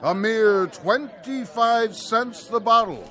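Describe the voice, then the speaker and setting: An actor playing a showy, fast-talking patent medicine peddler with florid barker's patter, in old-time radio drama style. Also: male voice, sits high, bright, forceful tone, a faint crowd, full character voice. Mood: showy, coaxing. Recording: music behind, vintage radio drama.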